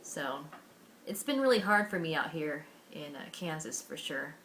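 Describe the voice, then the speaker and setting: A young American woman with a low voice tells her own story to camera. She sounds earnest and candid, and she often restarts her sentences and fills pauses with "um" and "you know". A faint hiss runs under the recording. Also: animated, a bit bright, low-quality recording. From Washington State, USA. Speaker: vlogger.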